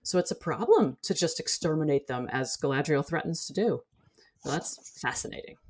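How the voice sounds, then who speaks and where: A woman's voice: low-pitched, animated, moderate pace, a little mellow, breathy edge. A woman speaking as a guest in a casual panel discussion, analytical and articulate like a scholar explaining her field. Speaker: podcast guest, literature scholar.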